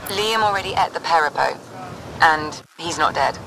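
Creaky-voiced, deadpan scientist. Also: female voice, low voice, normal speed, noisy background. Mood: wry, reassuring. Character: dry, wry food-testing scientist. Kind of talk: video game dialogue acting.

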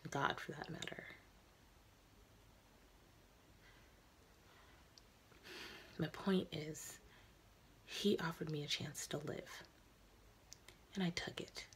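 Woman acting a hushed, sorrowful immortal young woman. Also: low-pitched, steady tone, in a whisper, pitched below the performer's own voice. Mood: solemn, resolute. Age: young adult. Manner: halting, intimate confession with long pauses. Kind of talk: dramatic audition monologue.

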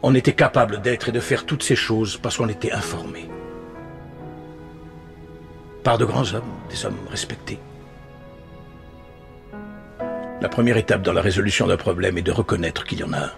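An actor playing a jaded middle-aged news anchor in a dubbed TV drama, speaking in a biting, emphatic, rhetorical manner. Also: male voice, on the low side, fast, creaky, hardly any pauses, over music. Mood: wistful, solemn. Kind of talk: dramatic monologue in a dubbed TV series.

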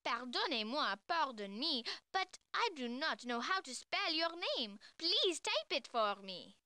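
A woman's voice: sits high, very animated, measured pace, pushed and bright, full character voice. An actress playing a small, polite and eager schoolgirl in a children's educational game, with a careful, sing-song manner. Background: children's music.

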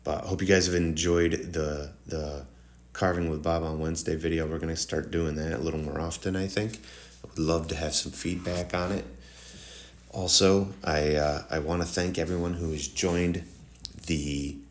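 A man with a slightly nasal, congested morning voice giving a casual, rambling tutorial voice-over straight to viewers. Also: a bass voice. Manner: informal, rambling, addresses viewers directly. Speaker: host of woodworking tutorial channel.

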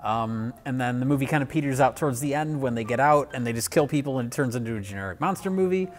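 A man's voice: mid-range voice, animated, fast. A man talking casually as a panelist on a film review show.

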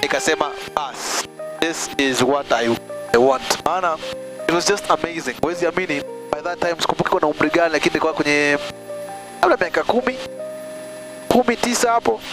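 A man's voice: on the high side, brisk, music in the background. A young man from Tanzania, a pilot, chatting casually in a small cockpit during flight, switching between languages and laughing as he tells stories. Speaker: pilot and vlogger. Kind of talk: casual storytelling mixing Swahili and English. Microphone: headset boom mic.

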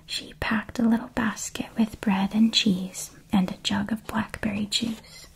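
A woman reading a children's story aloud in a soft, calm ASMR whisper. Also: medium pitch, vocal fry, slightly rough, low-quality recording. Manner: slow, calm and hushed.

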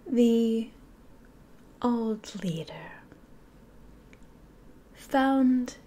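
Woman acting a slow, whispering demon queen. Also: on the high side, dark tone. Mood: grandiose, scheming. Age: 20s. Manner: theatrical, unhurried, mostly whispered. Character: sly, grandiose female demon ruler of a secret brotherhood. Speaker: ASMR roleplay performer. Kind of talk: ASMR roleplay, slow whispering. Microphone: close microphone.

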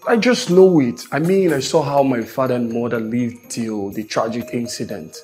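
A blunt, casual bachelor, played by a male actor in film dialogue. His voice is of average pitch and his manner is dismissive, with faint music in the background. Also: moderate pace, darkish tone, natural voice. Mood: matter-of-fact. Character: marriage-averse bachelor wanting children, blunt and casual. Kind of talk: Nollywood film acting.

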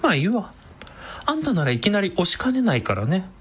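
An actress playing a brash, sardonic villainous woman with a sharp-tongued, theatrical manner in a comedic radio drama. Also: mid-range voice, very animated, a little fast, slightly rough. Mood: grave, confiding.

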